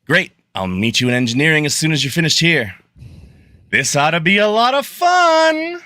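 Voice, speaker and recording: A man's voice: a little high, sing-song, moderate pace, bright, forceful tone, dry recording, clean studio sound. A man playing an upbeat starship chief engineer in an amateur live radio play. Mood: brisk, upbeat.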